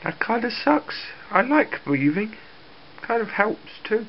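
A man's voice: high-pitched, big pitch swings, takes it slowly, vocal fry, slight background noise. Bunged-up young man vlogging. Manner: chatty, laughs often, whinging. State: blocked nose from a cold. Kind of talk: casual daily vlog chat. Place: bedroom at home, later a busy cafe. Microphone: handheld camera at arm's length. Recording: slightly dull lo-fi camera audio, busy crowd.

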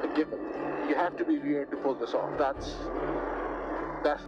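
A man with a Pakistani accent chatting casually and haltingly as he vlogs from a moving motorbike. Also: a little high, loud music behind the voice. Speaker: motovlogger. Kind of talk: casual vlog chat while riding. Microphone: lavalier into action camera. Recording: muffled lavalier audio, loud traffic noise. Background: engine, wind and traffic noise.

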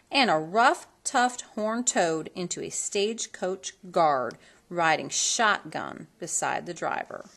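A woman reading a children's storybook aloud in an expressive, theatrical manner that leans on colorful words. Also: medium pitch. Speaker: storybook reader.

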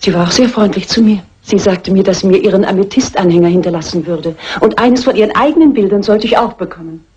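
An actress playing a timid, easily upset lady's companion in a German radio crime drama, anxious, prim and husky. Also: medium pitch, quick pace, hardly any pauses, darkish tone, lo-fi sound, natural voice. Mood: timid, nervous.

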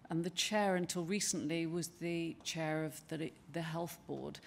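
An older woman giving a conference presentation at a lectern in a large wood-panelled hall, speaking in a measured, conversational way with frequent ums and restarts. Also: low-pitched, gravelly, a little mellow, slight background noise. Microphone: lectern microphone.

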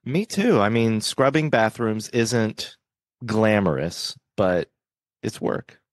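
A man's voice: lowish voice, animated, slow, no room sound, studio quality. A man co-hosting a horror movie podcast in casual chat, joking and laughing often. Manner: jokey, laughs often, upbeat plugs. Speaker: podcast co-host.

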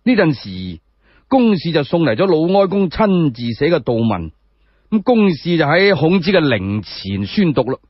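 A man's voice: fairly high pitch, sing-song, moderate pace, breathy edge. A man with a Cantonese accent telling a story solo in a dramatic narrating style. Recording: dull, echo-free muffled recording.

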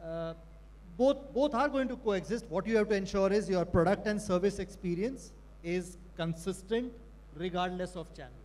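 A man speaks on a conference panel with direct, practical points. His voice is quite high for a man. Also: normal speed, darkish tone. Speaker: panelist, retail executive. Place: large conference hall stage. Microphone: handheld mic.

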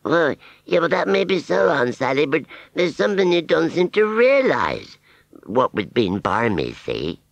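A man's voice: fairly high pitch, huge pitch swings, vocal fry, no echo, lo-fi sound, pitched above the performer's own voice, full character voice. A man voicing a simple, lovestruck scarecrow in a storybook, plaintive and grumbling, with a West Country English accent. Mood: puzzled, jealous. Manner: rustic, plaintive, slow-witted.

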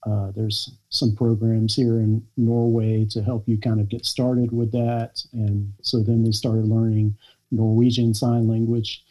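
Man, American, forties, mellow, earnest, halting speech, video call. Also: fairly low pitch, flat delivery, rough recording. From United States. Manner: earnest, hesitant with many fillers and restarts. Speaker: guest, children's book author. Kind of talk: conversational interview. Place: small home room, indoors.

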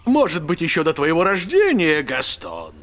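An actor voicing a jolly, kindly elf pirate captain in a children's cartoon, with a theatrical manner. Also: male voice, fairly high pitch, wildly swooping pitch, normal speed, a bit bright. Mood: playful, mysterious. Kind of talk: Russian-dubbed children's cartoon acting.

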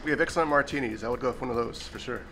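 A man in the role of executive chef speaks quickly in a mid-range voice. He hesitates often, filling gaps as he names his dishes. Faint music sits behind him. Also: medium pitch. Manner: hesitant, many fillers. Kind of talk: casual interview answers.

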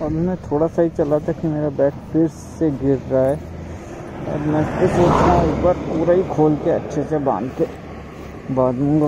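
A man chatting casually as he rides a motorcycle on highways, talking his ride through for his channel and thinking aloud as he goes. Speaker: motovlogger, channel host. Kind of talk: casual running commentary while riding a motorcycle. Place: outdoors on a motorcycle on highways and city roads. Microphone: cheap camera setup mounted on the bike or helmet. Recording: heavy wind and traffic noise. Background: road traffic and engine noise.

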